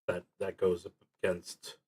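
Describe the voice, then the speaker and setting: Slow, low-voiced man thinking aloud. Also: monotone, darkish tone, slightly rough. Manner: hesitant, musing, halting delivery. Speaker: solo tabletop roleplaying streamer. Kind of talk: unscripted solo game play, thinking aloud.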